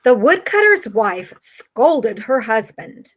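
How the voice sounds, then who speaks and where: A woman's voice: a little high, very animated, takes it slowly. A woman teacher narrating a children's storybook tale from a script.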